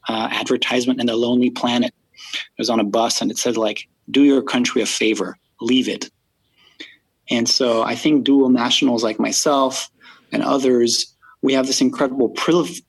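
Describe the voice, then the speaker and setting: A man from France, a podcast guest, speaking thoughtfully in a casual interview, with many pauses and fillers. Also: mid-range voice, slightly rough, no room sound, rough recording.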